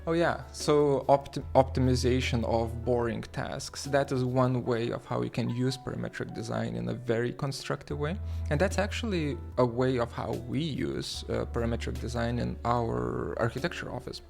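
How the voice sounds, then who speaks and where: A man from Lithuania hosting a livestream, talking haltingly with many fillers and restarts as he reads the chat aloud. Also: medium pitch, creaky, a little mellow, faint music behind. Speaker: livestream host.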